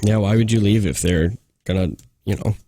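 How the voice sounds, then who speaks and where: A man's voice: lowish voice, normal speed, warm tone, no echo. A man co-hosting a morning radio show, chiming in with casual agreement and stories. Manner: agreeable, chimes in with short replies. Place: radio studio.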